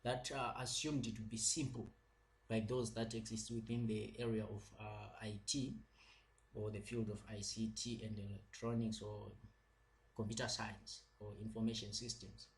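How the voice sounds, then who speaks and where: A man giving a slow, patient recorded lecture over slides, repeating points and using many fillers. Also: fairly low pitch, little pitch movement, poor audio.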